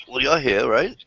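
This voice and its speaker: A man's voice: mid-range voice, vocal fry, sharp tone, no room sound, lo-fi sound. A man hosting a casual online group chat from home, joking, laughing often and swearing freely. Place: at home, wife in the back room.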